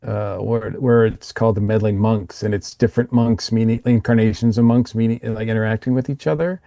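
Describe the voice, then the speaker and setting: A man co-hosting a podcast, chatting casually in a slightly halting, hesitant way. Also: fairly low pitch, steady tone, gravelly, mellow, dry recording, clean studio sound. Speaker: podcast co-host.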